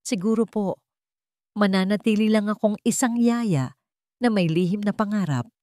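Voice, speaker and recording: A gentle young nanny in love, read by a woman narrator, humble and grateful. The speech is polite, soft and heartfelt, in the manner of a romantic audio story. The sound is very dry and close. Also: medium pitch, moderate pace, no echo, close to an everyday voice. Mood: tender, reflective.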